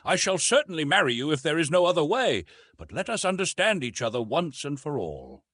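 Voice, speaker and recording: A man's voice: a little high, sing-song, brisk, a bit bright, no echo, clean studio sound, pitched above the performer's own voice. A man voicing a proud young woman with a cool, articulate and level manner in an audiobook. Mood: cold, accusing.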